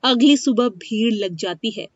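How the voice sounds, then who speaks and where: A woman's voice: a little high, a little slow, no room sound. A woman reading a scripted moral story as its narrator. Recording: dry, dull lo-fi studio sound.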